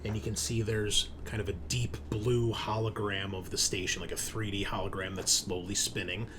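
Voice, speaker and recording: Male game master, lowish voice, improvised sci-fi horror narration, conversational and descriptive, slight background noise. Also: level tone, moderate pace. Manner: casual, explanatory, descriptive.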